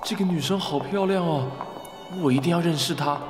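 A man's voice: a little high, a little fast, over music, close to an everyday voice. A man playing a secretive, smitten mystery man in a podcast radio drama, recorded with long reverb. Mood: infatuated.

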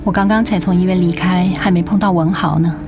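A woman's voice: lowish voice, fairly even intonation, a little fast, music in the background, close to an everyday voice. A woman playing a weary, self-doubting sick young mother in a radio drama, with a Taiwanese Mandarin accent. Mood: subdued, resigned. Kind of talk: dramatic radio play acting.

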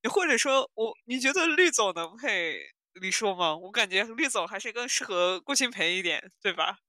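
A woman's voice: mid-range voice, lively intonation, normal speed, pushed and bright, no echo, studio quality. A woman co-hosting a podcast, chatting casually about audio dramas in a playful, teasing way. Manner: playful, giggly, teasing storytelling. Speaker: podcast co-host.

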